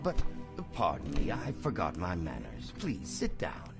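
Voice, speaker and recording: A man's voice: a bass voice, huge pitch swings, gravelly, music in the background, full character voice. An actor playing an ailing, courteous but evasive older man in a video game drama, speaking formally and philosophically. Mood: weary, musing. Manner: formal, philosophical, measured speech.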